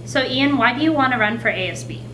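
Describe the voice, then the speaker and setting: A young woman interviewer reading brisk, scripted questions. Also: fairly high pitch, lively intonation, background noise. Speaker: student interviewer.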